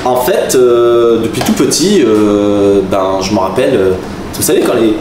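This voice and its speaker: A man's voice: fairly low pitch, a little slow. A man in his forties from southern France, telling stories to the camera in a chatty way, laughing often. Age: 40s. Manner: chatty, laughing, animated asides. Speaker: vlogger, taekwondo master. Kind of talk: casual storytelling to camera. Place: room with a camera crew. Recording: roomy, mic at a distance, background noise.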